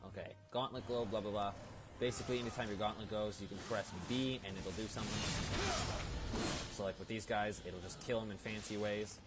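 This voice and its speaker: A man's voice: on the low side, fairly even intonation, a little fast. A man giving casual, rambling and explanatory commentary as he plays through a video game. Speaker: video game let's-player. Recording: loud game music behind the voice. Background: loud video game music.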